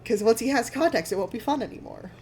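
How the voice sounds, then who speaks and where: A woman's voice: a little high, expressive, fast, darkish tone, a little hiss or hum. A woman, chatting casually with a friend while playing a game. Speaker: guest friend on game stream. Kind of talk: casual chat while gaming.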